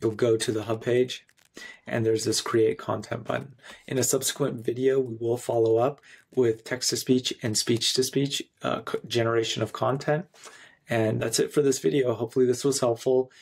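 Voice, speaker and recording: A man in his thirties narrating a screencast tutorial in an instructional, clearly phrased way. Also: mid-range voice, level tone, normal speed. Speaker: software tutorial presenter.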